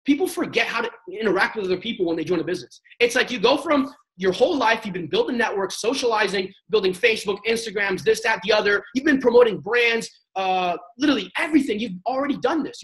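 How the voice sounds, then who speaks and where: A man's voice: high voice, animated, fast, hardly any pauses, a bit bright, low-quality recording. A young man on a sales panel, giving a motivational training talk in a casual, slangy way and acting out sample chats. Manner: emphatic, coaching delivery. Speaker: network marketing panelist.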